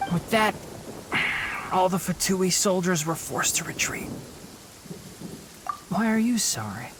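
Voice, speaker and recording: A man playing a gentle, stoic young forest ranger in a game, measured and weary from injury, with a breathy delivery. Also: high voice, noisy background, full character voice.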